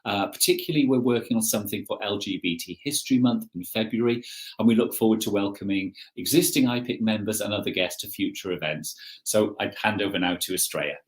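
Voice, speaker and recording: A man giving a formal, measured event introduction as an academic host, sometimes halting as he goes. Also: lowish voice, rough recording.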